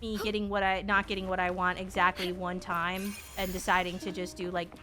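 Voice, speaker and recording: A young woman streamer giving casual game reaction commentary in an expressive, sarcastic, slangy and sweary way. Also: lowish voice, brisk, background noise. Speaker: VTuber streamer.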